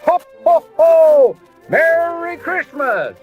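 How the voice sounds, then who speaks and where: A man's voice: very high voice, expressive, very slow, phone-quality sound, exaggerated voice. An actor playing a jovial, eager middle-aged father and inventor in a storybook audio drama, with a folksy salesman's manner. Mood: excited, cheerful. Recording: music under the dialogue.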